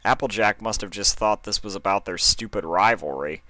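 A man's voice: fairly low pitch, normal speed, sharp tone, dry recording. A man reading scripted fiction aloud as the narrator of a story.

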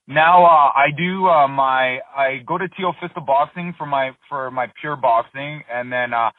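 A man in his thirties, a fighter being interviewed, talking in a relaxed, rambling way full of fillers and restarts. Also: mid-range voice, measured pace. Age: 30s. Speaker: MMA fighter, interview guest. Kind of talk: casual phone interview. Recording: dry tinny phone line.

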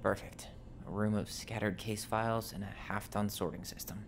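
A man's voice: fairly low pitch, steady tone, moderate pace, soft background music, lightly acted. An actor playing a blunt, wry, guarded young man with an American accent in video game dialogue, talking casually. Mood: wry, grumbling. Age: young adult.

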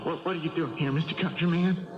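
Anxious, higher-pitched young ballplayer played by a man. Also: fairly even intonation, quick pace, music in the background, close to an everyday voice. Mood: alarmed. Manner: stammering, pleading. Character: earnest, anxious young rookie baseball player, naive country boy. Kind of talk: 1970s radio drama acting. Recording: muffled, noisy old radio broadcast.

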